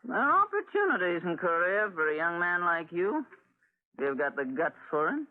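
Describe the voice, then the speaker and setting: A woman's voice: fairly low pitch, big pitch swings, moderate pace. A woman playing a brash, nosy middle-aged bar owner in 1940s radio style, coaxing and theatrical. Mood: coaxing, scheming. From United States. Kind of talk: 1940s radio adventure acting. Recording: tinny 1940s radio recording.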